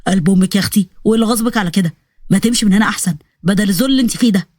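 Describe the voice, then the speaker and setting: Cartoon story: jumpy Egyptian soldier lad, low whiny pleading, voiced by a woman. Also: very fast, a little mellow, no echo, studio quality, close to an everyday voice. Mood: mocking. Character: cowardly young male soldier, jumpy and boastful.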